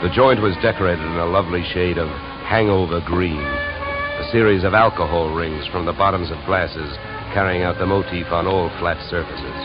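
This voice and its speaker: An actor playing a wry, deadpan insurance investigator in a 1950s radio detective drama, brisk and wisecracking. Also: male voice, deep voice, lightly acted. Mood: wry, sardonic. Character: wry, hard-boiled male insurance investigator, narrating his case. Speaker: lead actor and narrator. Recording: dull vintage radio recording, music behind.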